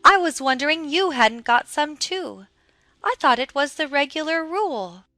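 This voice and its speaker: Snooty talking rose for a kids audiobook, lady doing the voice, sharp bright tone, airy and patronizing. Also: high-pitched, animated, normal speed, no echo, soft background music. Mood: airy, knowing. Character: smug, condescending talking rose, a female garden flower. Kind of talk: dramatized children's audiobook acting.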